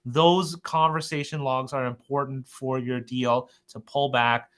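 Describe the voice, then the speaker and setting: Male webinar host, mid pitch, very bright tone, earnest and conversational product presenter. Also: fairly even intonation, a little slow. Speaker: host of a software update webinar.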